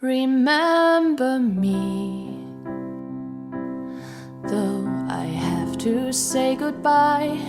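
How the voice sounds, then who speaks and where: A woman singing a tender cover of a gentle ballad. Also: high voice, lively intonation, extremely slow, pauses often. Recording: loud instrumental music backing.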